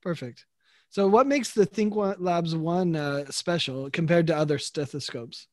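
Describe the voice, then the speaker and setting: A man's voice: a little high, a little slow, dry recording, clean studio sound. A middle-aged man hosting an interview over a video call, upbeat and friendly. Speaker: host.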